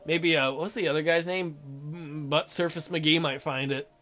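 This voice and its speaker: A man's voice: fairly high pitch, steady tone, normal speed, sharp tone, soft background music. A man reading a visual novel aloud as a gaming host, breaking in with crude, mocking jokes. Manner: irreverent, crude joking, mocking. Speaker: gaming video host, let's player.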